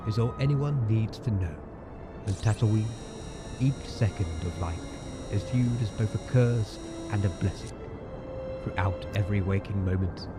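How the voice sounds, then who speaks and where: Man as low solemn narrator over loud music. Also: lightly acted. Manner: solemn, ornate, deliberate phrasing. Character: grave narrator of an ancient alien-desert field report. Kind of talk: dramatic scripted narration. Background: loud music and effects.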